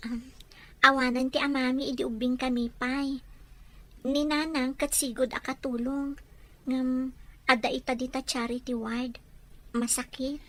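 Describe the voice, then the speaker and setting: High-voiced, polite young Filipina housemaid played by an actress. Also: female voice, flat delivery, measured pace, pauses often, fairly bright tone. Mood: polite, hesitant. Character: poor young housemaid, polite, worried dutiful daughter. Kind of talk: Filipino audio drama acting.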